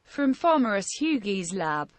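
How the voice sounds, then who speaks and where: A woman's voice: medium pitch, very animated, unhurried. A woman playing a resolute, blunt adventurer in a fantasy game. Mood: resolute, questioning. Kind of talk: scripted fantasy game dialogue.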